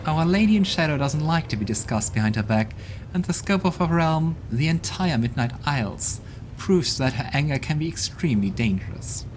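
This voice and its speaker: A man's voice: a little high, animated, normal speed, a little hiss or hum, voice pushed up, lightly acted. A man voicing a proud, scornful female demon ruler from a role-playing game, speaking in a smug and venomous way. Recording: game music playing behind.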